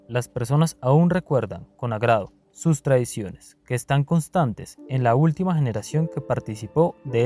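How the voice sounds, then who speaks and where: A man reads documentary narration in a mid-range voice. Soft music plays behind his scripted delivery. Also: mid-range voice.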